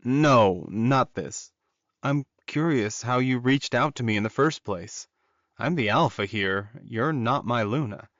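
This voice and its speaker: A commanding male werewolf alpha, played by a man in an audiobook. He is composed and firm, at a middle pitch, with a dry, slightly dull sound. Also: a little slow, fairly bright tone, dry recording, natural voice. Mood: firm, curious.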